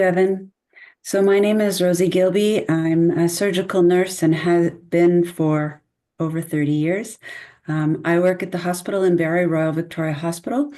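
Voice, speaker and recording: A woman's voice: on the low side, dark tone. A woman in her fifties, a surgical nurse, giving an upbeat self-introduction.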